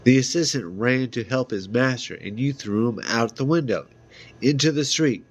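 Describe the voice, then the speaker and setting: A man reading a fantasy novel aloud as an amateur, halting at times, with small stumbles and repeated words. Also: mid-range voice, a little hiss or hum. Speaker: amateur reader.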